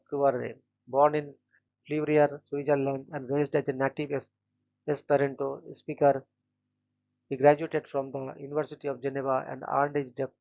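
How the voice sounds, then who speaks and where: A man hosting a daily channel, reading short scripted biographies in a flat, steady way and stumbling now and then on names. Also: medium pitch, creaky, muffled recording. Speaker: host of a daily events channel.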